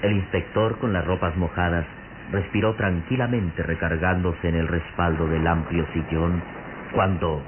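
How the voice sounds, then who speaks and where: A man's voice: low voice, fairly even intonation, unhurried, noisy background. A man narrating a scripted radio drama in a solemn, dramatic style. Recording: muffled old radio recording. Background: music.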